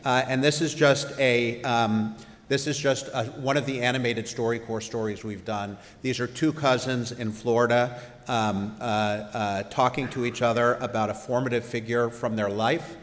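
A man in his fifties giving a conference keynote in a halting, hesitant way at a podium microphone. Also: medium pitch, fairly even intonation. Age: 50s. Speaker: keynote speaker. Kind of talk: conference presentation. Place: large indoor conference hall stage. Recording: reverberant conference hall.

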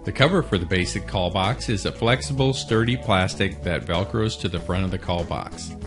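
A man reading scripted promotional narration in a measured, informative way, as for a product video. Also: on the low side. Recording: voice-over with music behind.

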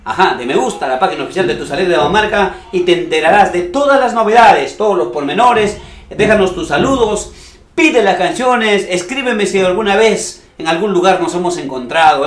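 A man's voice: high voice, a little fast, reverberant. A man from the Cajamarca region of Peru hosting a music TV show, speaking straight to viewers in an upbeat, promotional way. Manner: lively, promotional, talks to viewers directly. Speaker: TV show host.

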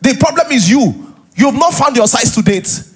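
A middle-aged man with a Nigerian accent and a husky voice, preaching as a pastor to a live congregation in an animated, conversational way, full of interjections and rhetorical questions. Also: extremely high pitch, moderate pace. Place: large church auditorium, on stage. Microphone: headset.

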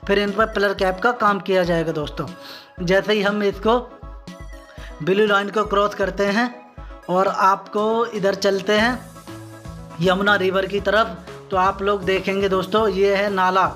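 A man's voice: high-pitched, fairly bright tone. A man giving an informal, repetitive on-site video commentary, explaining things step by step to viewers he addresses as friends. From northern India. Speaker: vlogger. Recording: music behind the voice. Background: background music.

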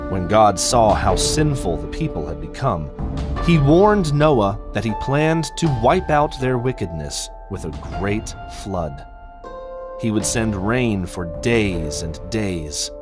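A man narrating a children's Bible story from a script, in a measured, expressive way with careful phrasing. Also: fairly low pitch. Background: music.

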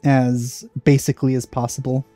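A man's voice: medium pitch, flat delivery, normal speed. A man doing casual, wry let's play commentary on a video game. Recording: game music behind the voice. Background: game music.

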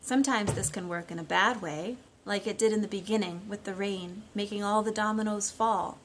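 Woman, 30s, children's storytime teacher, mid-pitched and expressive, simple phrasing, homemade phone recording with light noise. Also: normal speed. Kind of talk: storytime read-aloud and talk to young children. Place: living room at home, indoors. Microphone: phone at about arm's length.

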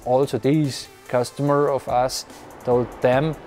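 A man talks through an installation in halting English, full of fillers and restarts. He speaks into a lapel mic in an equipment room, and faint music plays underneath. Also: mid-range voice, flat delivery, darkish tone. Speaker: electrical installer. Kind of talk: interview.

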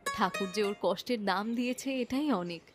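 A woman voicing a modest, contented housewife with a gentle, polite manner, in a cartoon moral story. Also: mid-range voice, fairly quick, faint music behind, lightly acted. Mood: pleased. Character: modest, contented, patient village sister-in-law and housewife.

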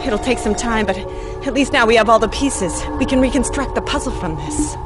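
An actress playing a serious, brisk scientist in middle age, speaking earnestly and articulately in a game cutscene. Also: female voice, on the high side, close to an everyday voice. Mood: awed, excited. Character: serious, brisk female military research scientist doctor. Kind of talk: dramatic briefing in game cutscene. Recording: music behind the voice.

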